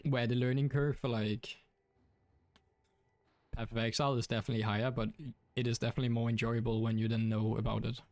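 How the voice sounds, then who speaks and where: A man's voice: mid-range voice, flat delivery, a little fast, darkish tone, no room sound. A young man from Denmark with a Danish accent, chatting casually while streaming a game, rambling with many fillers. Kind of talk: casual gaming stream chat.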